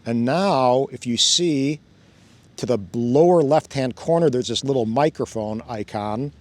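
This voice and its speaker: A man's voice: medium pitch, unhurried, darkish tone. A man hosting a casual hands-on demo outdoors by a fountain, thinking aloud and laughing often as he goes. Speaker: drone channel host. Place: outdoors by a fountain, cold weather.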